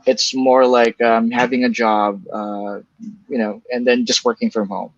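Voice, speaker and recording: Filipino man with a halting, dull-sounding voice. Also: mid-range voice, flat delivery, no room sound. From Philippines. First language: Bicol. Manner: halting, many restarts and fillers, laughs lightly. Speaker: guest, email copywriter. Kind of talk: casual interview answers.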